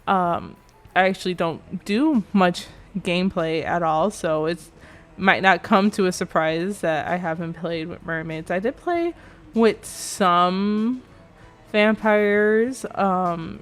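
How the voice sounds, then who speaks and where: A woman's voice: lowish voice, slow pace. A young woman giving a rambling, chatty commentary over a game build, sounding a little nasal from allergies. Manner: chatty, rambling, self-correcting. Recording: faint background music.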